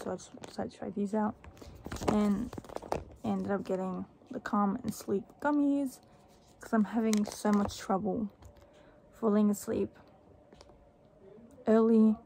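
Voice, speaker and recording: A woman's voice: medium pitch, creaky. A young adult woman, chatting casually and offhandedly as a vlogger in a small room, rambling a little. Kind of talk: casual haul vlog chat. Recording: faint background noise.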